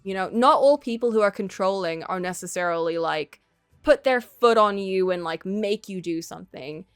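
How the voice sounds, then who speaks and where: A woman's voice: medium pitch, moderate pace, clear, resonant tone, no room sound, faint music behind. Dry close-sounding woman streamer, casual commentary. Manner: conversational, opinionated, thinking aloud. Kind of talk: casual live-stream commentary on a story game.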